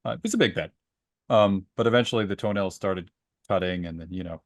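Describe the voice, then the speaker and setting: Male podcast co-host, lowish voice, dry close sound, conversational storyteller who slips into quoted dialogue. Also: quick pace, no echo. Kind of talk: casual book discussion with personal anecdotes.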